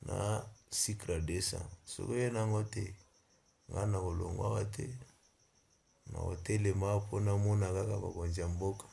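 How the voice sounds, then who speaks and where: A Congolese-accented man voicing a stubborn, grumbling, argumentative rooster with a gravelly voice in a comedy cartoon. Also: deep voice, steady tone, normal speed, mellow. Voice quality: gravelly, creaky.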